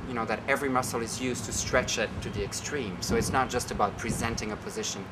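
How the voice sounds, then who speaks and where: A man from Belgium, a choreographer and ballet dancer, talking casually and thoughtfully in an interview, often pausing with fillers as he searches for words. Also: medium pitch, steady tone, recorded from a distance, background noise.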